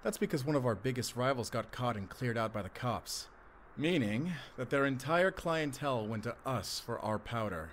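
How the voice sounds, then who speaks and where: A man's voice: mid-range voice, expressive, normal speed, few pauses, a little hiss or hum, lightly acted. A man playing an eager, businesslike manager in a drug ring, polite and deferential, in a roleplay audio drama. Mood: businesslike, confident.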